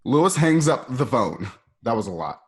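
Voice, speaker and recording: A man's voice: mid-range voice, big pitch swings, moderate pace. A man narrating scene directions for an amateur script read, informal and conversational. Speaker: narrator reading stage directions.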